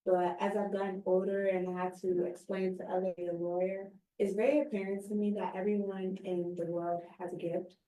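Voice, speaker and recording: A woman's voice: mid-range voice, level tone, normal speed, few pauses, mellow. A young woman in her twenties, a recent college graduate, speaking earnestly and expressively in a casual conversation. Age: 20s. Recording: roomy sound, somewhat distant mic.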